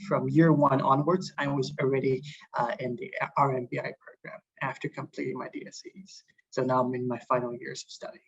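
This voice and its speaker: A man in his early twenties from Hong Kong gives an informal, hesitant student presentation on an online call, with frequent filled pauses. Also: mid-range voice, pushed and bright, slightly rough. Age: early 20s. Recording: dull lo-fi online call audio.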